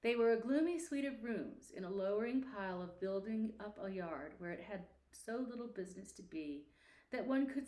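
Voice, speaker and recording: Woman reading an old storybook out loud, expressive n theatrical, kinda echoey room, medium pitch. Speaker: audiobook narrator. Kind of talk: expressive scripted storybook narration.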